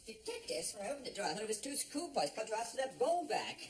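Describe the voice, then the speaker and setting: An actress playing an outraged burglary victim who rants with indignant disbelief. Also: female voice, fairly high pitch, sing-song, fast talker. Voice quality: indignant, incredulous, ranting. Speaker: burgled neighbour. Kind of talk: sitcom acting. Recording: noisy broadcast sound.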